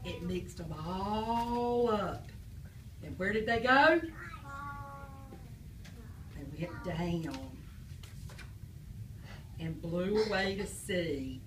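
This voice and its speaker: A woman's voice: fairly low pitch, very slow, pauses often, noisy background. A woman teacher, quizzing and praising a class of young children after reading aloud. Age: adult. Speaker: preschool teacher. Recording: echoey classroom from far off.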